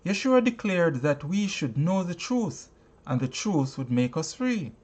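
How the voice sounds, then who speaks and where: A man reading an inspirational self-help text aloud like a teacher, in an emphatic, preacher-like manner with dramatic pauses. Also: fairly high pitch, very animated, a little mellow. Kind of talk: deliberate audiobook-style reading of inspirational teaching.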